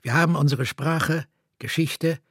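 An actor playing a disillusioned soldier, declaiming in a radio play. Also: male voice, a little high, a little slow, a bit bright, husky, dry recording, studio quality. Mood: bitter. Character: disillusioned male soldier who lost all belief.

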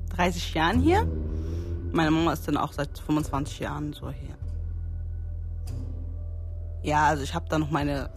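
Young guy, kinda high voice, loose casual interview chat, music under it. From Germany. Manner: casual, loose with filler words.

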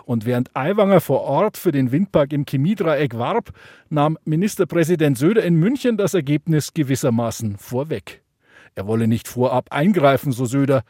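A male reporter reading a voice-over in a neutral, even delivery. His voice is mellow and slightly high. The sound is dry and close. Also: normal speed, no room sound. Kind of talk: scripted news report.

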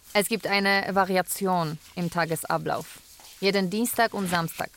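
A woman playing a carer who narrates a daily routine in a radio drama, measured and matter-of-fact. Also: fairly low pitch, slight background noise.

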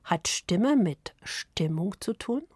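A woman from Poland, Polish native, narrating with a Polish accent in a soft, slow, intimate read. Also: mid-range voice, animated, breathy edge, no echo, studio quality. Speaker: radio author and narrator. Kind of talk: scripted reflective narration.

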